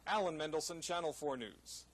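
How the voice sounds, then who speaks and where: American male reporter, moderately high pitch, scripted local news delivery. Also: brisk.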